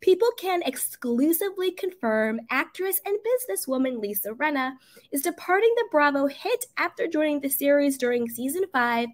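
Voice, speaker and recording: Woman, sick and raspy, high-pitched, sassy gossip host who draws out her punchlines. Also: expressive. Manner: sassy, emphatic, drawn-out stresses. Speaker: celebrity gossip YouTuber. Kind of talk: casual gossip commentary to camera.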